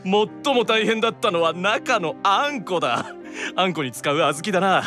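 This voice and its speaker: A proud demon brother, worn out yet dead earnest. His delivery is stiff and theatrical, swinging widely in pitch in the manner of an anime audio drama. Faint music plays along. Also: lively intonation, normal speed, pushed and bright. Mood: intense, weary. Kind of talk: Japanese anime-style audio drama acting.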